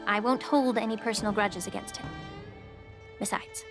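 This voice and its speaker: A woman voicing a composed, wry healer official in a video game, speaking in a measured, polite and philosophical way. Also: mid-range voice, fairly even intonation, lightly acted. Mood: pointed, wry. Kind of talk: English video game voice acting. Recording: loud music under the voice.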